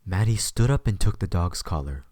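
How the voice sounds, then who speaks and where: A man's voice: low voice, lively intonation, moderate pace, darkish tone, no echo. A man narrating a scripted story as the narrator of an audio drama.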